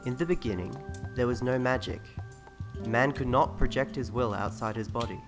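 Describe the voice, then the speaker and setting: A man's voice: mid-range voice, moderate pace, close to an everyday voice. A man narrating scripted fantasy game lore as a solemn teller of ancient history. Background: music.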